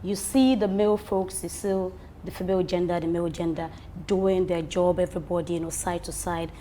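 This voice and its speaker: A woman's voice: fairly low pitch, steady tone, fairly quick, warm tone, a little hiss or hum. A woman interviewer asking questions in a conversational way. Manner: conversational, with frequent "you know" fillers.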